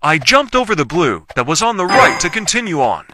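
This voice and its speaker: Male gameplay commentator, animated intonation, short repetitive statements, noisy recording. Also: high-pitched, fairly quick. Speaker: gaming video creator.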